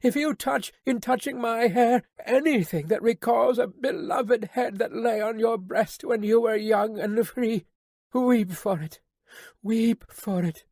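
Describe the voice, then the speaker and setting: A woman's voice: a little high, unhurried, darkish tone, no echo, clean studio sound, pitched above the performer's own voice. A woman voicing a young woman, a tender daughter, who pleads earnestly and repeats her refrains. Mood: pleading. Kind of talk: audiobook character reading.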